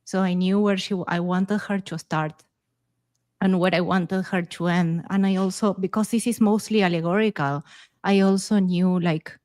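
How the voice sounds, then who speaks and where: A woman's voice: on the low side, fairly even intonation, normal speed, a little mellow, dry recording. A woman speaking casually as an author being interviewed. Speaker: author and podcaster, interview guest.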